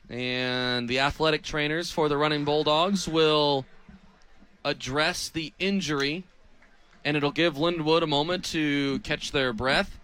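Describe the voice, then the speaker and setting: Male American play-by-play announcer, twenties, higher-set and sharp, clipped live football calls. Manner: crisp, clipped phrases that build to excited calls on big plays. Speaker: play-by-play radio sports announcer.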